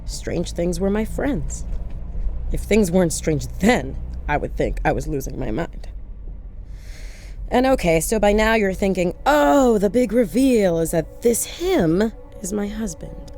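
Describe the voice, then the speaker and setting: A woman's voice: mid-range voice, animated, measured pace. A woman playing a haunted, sardonic lone driver, confiding her story as horror drama narration. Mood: confiding, defensive. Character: haunted, wry woman driving alone at night, narrating. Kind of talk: first-person audio horror drama narration. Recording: loud music bed under voice. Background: music and vehicle sounds.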